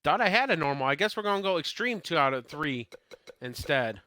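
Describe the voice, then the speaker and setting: A man's voice: a little high, big pitch swings, a little fast, sharp tone, dry recording, clean studio sound. A man doing informal live play-by-play of a wrestling game, talking through his slips, his voice starting to give out. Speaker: e-fed wrestling streamer and commentator. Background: wrestling game crowd.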